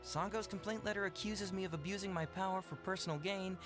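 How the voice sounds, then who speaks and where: A man's voice: high voice, fairly even intonation, fast, loud music behind the voice, lightly acted. An actor playing a sly, easygoing young male detective in a video game, glib and teasing in conversation. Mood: earnest.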